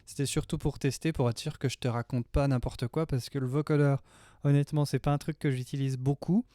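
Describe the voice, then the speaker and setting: A man's voice: mid-range voice, fairly even intonation, a little fast, warm tone, dry recording. A man, chatting informally and hesitantly as he hosts a home-studio tutorial on a close mic. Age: 30s. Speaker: music production tutorial host. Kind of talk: casual tutorial chat. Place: small home studio room, indoors. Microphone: close studio mic on boom arm.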